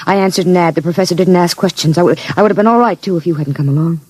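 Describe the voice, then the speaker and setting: A woman's voice: on the low side, racing, a little mellow, slightly rough, no room sound. A world-weary nursemaid in an old radio play. She sounds uneasy and emotional, speaking in vintage dramatic style. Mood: frightened.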